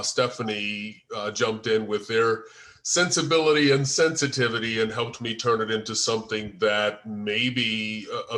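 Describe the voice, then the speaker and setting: This is an American man with a fairly low voice. He talks in an informal, unscripted way, as a writer in an online group discussion. His delivery is halting and hesitant, as he searches for the next word. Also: bright, forceful tone. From United States. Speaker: screenwriter and first-time filmmaker. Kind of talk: informal discussion after a table read. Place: online group video call.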